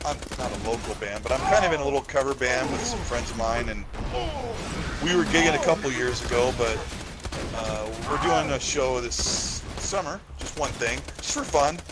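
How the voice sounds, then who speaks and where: Lively, enthusiastic middle-aged guest man. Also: medium pitch, normal speed, heavy background noise. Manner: enthusiastic, laughs easily. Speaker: podcast guest. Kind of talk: casual chat.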